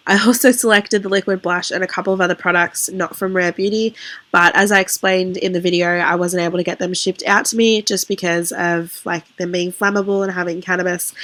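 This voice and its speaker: A woman's voice: medium pitch, a bit bright. Nasal, congested young Australian woman. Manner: chatty, filler-heavy with frequent "like". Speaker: beauty vlogger. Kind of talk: casual makeup review.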